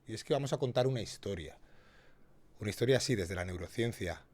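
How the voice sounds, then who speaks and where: A man's voice: fairly low pitch, expressive, fast talker, darkish tone. A man hosting an interview podcast, introducing the episode and guiding the guest through his story. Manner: builds up intro with rising energy. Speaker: podcast host.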